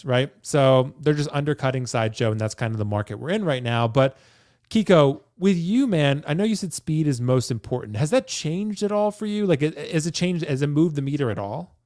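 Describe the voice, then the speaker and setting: A man's voice: fairly high pitch, animated, fairly quick, a little mellow, dry recording, studio quality. A man in his early thirties hosting a casual chat about collecting. He keeps tagging his points with "right?" and "like I said.". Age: 30s. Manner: chatty, says "right?" and "like I said" often. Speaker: host.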